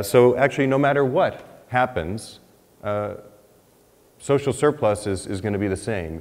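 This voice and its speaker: A man's voice: low-pitched, a little mellow. A man in his thirties giving a conference lecture from a podium, thinking aloud with restarts and lifting his voice for key points. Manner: thinking aloud, many restarts and "you know"s, rising for emphasis. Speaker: economics researcher presenting a paper. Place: large lecture hall, standing at a podium. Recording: reverberant lecture hall sound.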